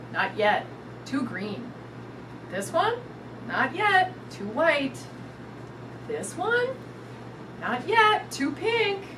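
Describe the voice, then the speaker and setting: A middle-aged woman reading a picture book aloud to young children in an animated, sing-song way. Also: high voice. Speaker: storytime reader. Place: indoors in front of a curtain backdrop. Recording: distant mic with clear background noise.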